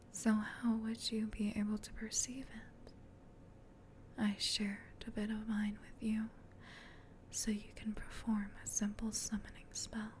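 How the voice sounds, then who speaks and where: A woman voicing a gentle, protective female demon in a soft, soothing whisper for an ASMR comfort roleplay. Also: mid-range voice, slight background noise, lightly acted. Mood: gentle, explaining. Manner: soothing, tender, reassuring, unhurried.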